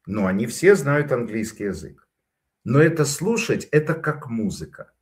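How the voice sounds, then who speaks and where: A man's voice: medium pitch, very animated, moderate pace. A man giving casual spoken commentary, telling stories in a digressive way with frequent hesitations.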